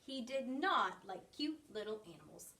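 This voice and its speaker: Lady reading a kids book out loud, highish really animated voice, a bit far from the mic. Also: moderate pace, a little hiss or hum. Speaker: story reader. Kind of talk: expressive picture book read-aloud for children.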